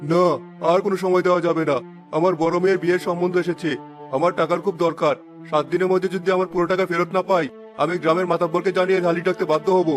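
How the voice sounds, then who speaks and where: A man's voice: high voice, flat delivery, rapid-fire, natural voice. A man playing an earnest, emphatic village shopkeeper in a cartoon story, over faint music. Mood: stern. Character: honest generous village cloth merchant, later a vengeful restless ghost.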